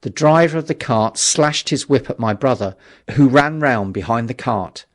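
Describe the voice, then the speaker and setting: A male audiobook narrator with a mid-pitched voice reads a classic novel. His delivery is scripted, literary and measured, with a dramatic storytelling feel. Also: animated.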